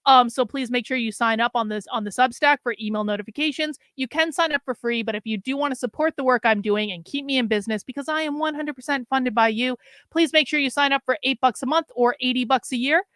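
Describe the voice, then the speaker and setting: Brief: chatty middle-aged woman streamer, a bit hoarse, smooth higher voice, dry close sound. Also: fast talker, fairly bright tone, dry recording. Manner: chatty, rambling, wry asides to the audience. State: losing her voice. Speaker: livestream host. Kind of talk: casual livestream chat.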